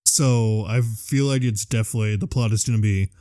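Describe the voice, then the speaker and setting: A man's voice: fairly low pitch, a little fast. Enthusiastic man chatting casually. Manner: enthusiastic, animated fan talk with casual swearing. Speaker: podcast co-host. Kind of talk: casual chat about video game movies.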